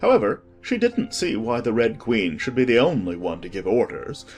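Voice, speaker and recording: An audiobook narrator reading a children's story in a measured, expressive scripted style. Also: mid-range voice, faint music behind.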